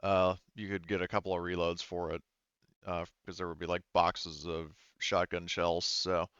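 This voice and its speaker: Male game master, low smooth voice, halting informal delivery, dry and slightly muffled recording. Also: flat delivery, fairly bright tone, dry recording. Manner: halting, informal, searching for words. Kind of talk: casual game narration at a tabletop session.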